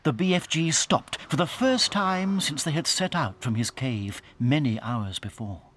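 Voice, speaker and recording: A man narrating a children's story in a measured, dramatic storytelling manner. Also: a little high, fairly bright tone, a little hiss or hum. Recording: studio narration over music.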